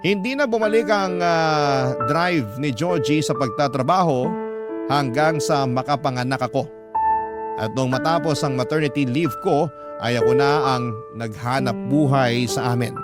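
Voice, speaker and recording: A man's voice: medium pitch, unhurried. A Filipino man reading a first-person love story as a radio host, in scripted narration. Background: loud music under the voice.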